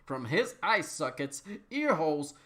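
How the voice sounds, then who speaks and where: A woman's voice: low-pitched, sing-song, unhurried, fairly bright tone. A woman narrating absurd comic fiction as a dramatic reading, in a mock-serious manner. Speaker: narrator, reader.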